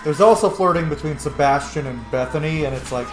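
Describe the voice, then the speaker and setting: A gamer giving casual, playful commentary, jokey and sweary, prone to excited exclamations. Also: medium pitch, fairly quick, over music. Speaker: gamer, let's play host. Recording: roomy mic, faint noise, game ambience.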